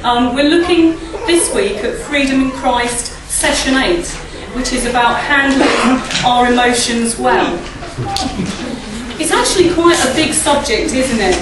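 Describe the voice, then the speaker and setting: Croaky high-voiced woman in an echoey hall. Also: expressive, unhurried, noisy background. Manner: chatty, asks the audience tag questions and laughs often. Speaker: church speaker. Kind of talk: informal talk at a church service. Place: church hall, indoors. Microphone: standing mic at a lectern, filmed by a far camera. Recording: echoey hall, recorded from a distance.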